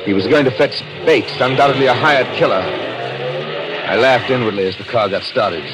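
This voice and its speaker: A man's voice: mid-range voice, normal speed, noisy background, close to an everyday voice. An actor playing a vengeful, scheming husband who narrates his murder plot with brooding drama in 1940s radio mystery style. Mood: gloating, agitated. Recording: old radio broadcast with music behind.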